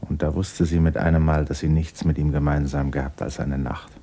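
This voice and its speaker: A male narrator reads literary prose for a radio play. His voice is extremely deep, husky and mellow, and his delivery is measured and sombre. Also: little pitch movement.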